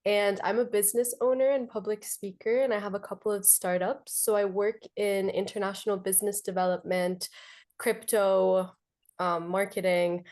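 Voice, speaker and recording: An upbeat woman in her twenties from Washington, D.C., introducing herself on a panel over a video call. Her talk is chatty and easygoing, with a voice of middling pitch. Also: level tone, normal speed, darkish tone. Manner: upbeat, chatty, laughs often. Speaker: panelist, business owner.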